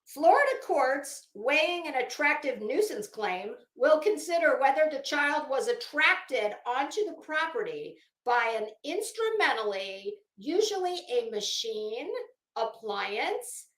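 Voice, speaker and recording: Blunt sardonic lady chatting on a livestream, highish voice, lots of room echo. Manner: blunt, sardonic, salty-tongued. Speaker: livestream host. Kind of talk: casual livestream commentary with reading aloud. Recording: echoey room.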